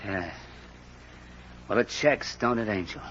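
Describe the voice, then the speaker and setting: A man's voice: on the low side, measured pace, close to an everyday voice. An actor playing a menacing, sardonic male racketeer in an old-time radio crime drama, talking in a tough, slangy sneer. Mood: cold, taunting. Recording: noisy, dull old radio recording.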